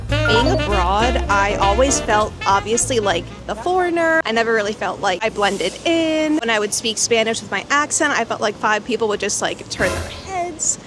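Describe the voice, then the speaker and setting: A woman's voice: fairly high pitch, lively intonation, moderate pace. A woman vlogging casually to the camera. She sounds upbeat and chatty and uses a lot of fillers. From United States. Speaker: travel vlogger. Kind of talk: casual vlog talking to camera. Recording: clear background music under the voice. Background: background music.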